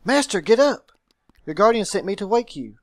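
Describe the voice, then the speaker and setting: A man's voice: high-pitched, very animated, moderate pace, no echo, voice pushed up. Man voicing a clingy, scolding female demon servant. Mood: urgent.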